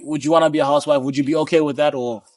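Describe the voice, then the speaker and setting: A man's voice: fairly high pitch, steady tone, rapid-fire, a little mellow, no echo, clean studio sound. A young man hosting a casual street interview outdoors on a city street, laid-back and calling people "bro". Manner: laid-back, says "bro" often, repeats "I get you". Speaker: street interviewer and vlog host.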